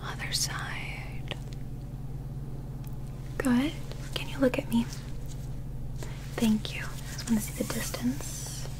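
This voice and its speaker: A young woman playing a calm, curious alien medical examiner in a gentle, methodical ASMR roleplay, whispering softly and breathily. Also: a little high, low-quality recording. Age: young adult. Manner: gentle, slow, methodical, clinical. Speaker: ASMR performer.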